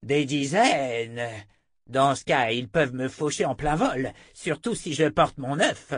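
A man's voice: medium pitch, expressive, unhurried, husky, dry recording, studio quality, pitched above the performer's own voice. A man voicing a huge, fierce female dragon in an audiobook, haughty and menacing. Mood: scornful. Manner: haughty, spitting, growling threats. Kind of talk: audiobook character voice.

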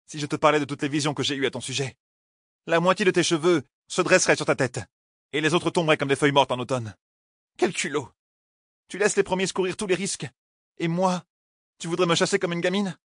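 A man's voice: medium pitch, fairly quick, long pauses, fairly bright tone, no echo, pitched above the performer's own voice, natural voice. Fantasy audiobook role: stubborn, outspoken young woman in love, defiant and teasing, dry recording male narrator performing. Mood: indignant. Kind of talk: audiobook character dialogue.

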